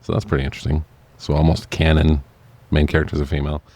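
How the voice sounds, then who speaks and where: Deep-voiced man chatting, close dry sound. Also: flat delivery, quick pace, a little mellow, no echo. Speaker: podcast co-host. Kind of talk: casual film review chat.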